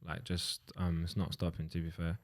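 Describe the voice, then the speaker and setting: A man co-hosting a podcast, making quick offhand remarks in casual chat. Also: low voice, completely flat delivery.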